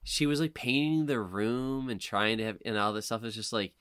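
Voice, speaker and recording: A man hosting a casual podcast chat, talking in an animated, informal way. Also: fairly low pitch, moderate pace, smooth, no echo, studio quality. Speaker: podcast host.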